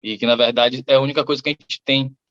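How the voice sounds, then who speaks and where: A man's voice: medium pitch, steady tone, fast, a bit bright. Musing man, dull audio. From Salvador, Bahia, Brazil. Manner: reflective, rambling, many fillers. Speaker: musician guest. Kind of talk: interview answers.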